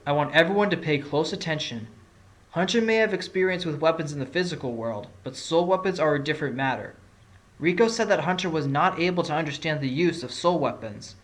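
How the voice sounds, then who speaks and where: A man's voice: a little high, a little fast, lightly acted. A man playing a confident fox defence lawyer with an assertive, probing courtroom manner in an audio drama. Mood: assured.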